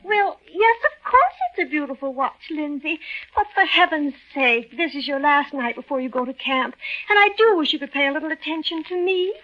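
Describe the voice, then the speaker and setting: A clinging young sweetheart pouts and pleads in a high voice that swoops about. It is 1940s radio acting on a dull old recording. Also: female voice, animated, normal speed, bright, forceful tone. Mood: petulant. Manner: pouting, pleading. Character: petulant, clinging young sweetheart of a departing soldier.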